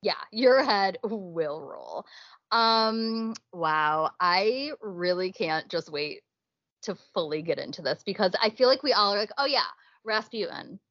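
A woman's voice: medium pitch, lively intonation, a little slow, fairly bright tone, dry recording, lo-fi sound. A young woman co-hosting a podcast in casual chat, chatty and laughing often. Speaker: podcast co-host.

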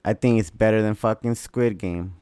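Male co-host, profane and excitable, low voice, dry close mic. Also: normal speed, smooth, no echo. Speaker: podcast co-host. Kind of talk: casual chat.

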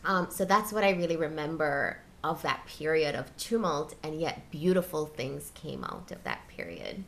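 A woman's voice: deep voice, expressive, normal speed, creaky, slight background noise. A woman hosting a casual interview, recalling her guest's progress and asking encouraging questions.

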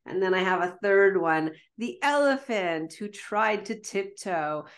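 A woman's voice: medium pitch, animated, measured pace. A woman hosting an interview show, enthusiastic and full of praise for her guest. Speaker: podcast host.

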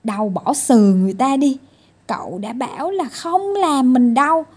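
A woman's voice: high voice, unhurried, darkish tone, breathy edge. A woman voicing a whiny, stubborn little boy in a children's storybook, yelping and complaining about pain.

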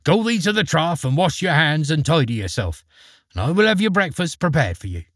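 A man voicing a bossy country giantess, the ogre's wife, scolding and tut-tutting in a raised, lively voice. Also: on the high side, fairly quick, dry recording, studio quality, voice pushed up.

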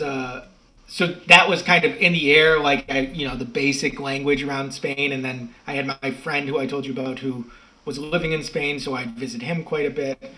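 Chatty man with a bright voice. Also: medium pitch, low-quality recording. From United States. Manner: rambling, self-correcting, chatty. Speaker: podcast guest. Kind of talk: casual interview talk.

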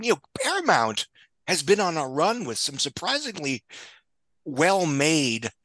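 A man's voice: a little high, sharp tone, dry recording, low-quality recording. A man talking as a guest pundit in an opinionated podcast discussion, blunt and sardonic, with casual swearing and frequent digressions. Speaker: guest film box office pundit.

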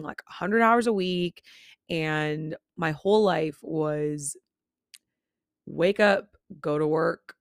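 A young woman in her late twenties talks into a close mic in a low, gravelly register, dry and intimate with no room sound. Chatty and candid, she slips little laughs between her words. Her voice still sounds a touch worn from recovering. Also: dry recording. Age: 20s. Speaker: podcast host. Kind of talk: casual solo podcast chat. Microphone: own studio microphone, close.

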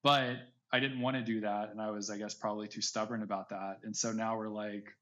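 A man's voice: lowish voice, little pitch movement, a little fast, a bit bright. Man in casual interview, slightly dull audio. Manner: informal, heavy use of "like" and "right". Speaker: guest, NFT project creator.

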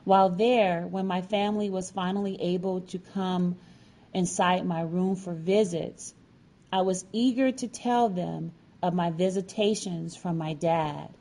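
A woman narrating a personal story from a script, with deliberate, dramatic phrasing. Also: fairly low pitch, warm tone. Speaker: featured storyteller.

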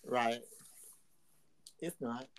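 A man's voice: medium pitch, drawn-out words, smooth, dry recording. A man hosting a podcast in casual chat, laughing often and teasing his co-hosts. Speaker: podcast host.

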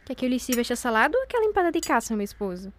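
A woman's voice: fairly high pitch, very animated, very fast, slight background noise. A woman playing a proud young bride in a radio drama, speaking with elegant, mocking false sweetness. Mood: falsely cheerful.

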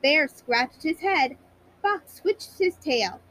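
High-pitched woman reading a bedtime story. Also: expressive, takes it slowly, fairly bright tone. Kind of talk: bedtime story narration for children.

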